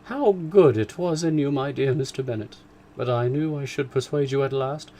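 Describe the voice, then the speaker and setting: A man voicing an excitable, complaining middle-aged mother, raised well above his own voice with emphatic swoops. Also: medium pitch, a little fast, a little hiss or hum, voice pushed up, lightly acted. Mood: gushing.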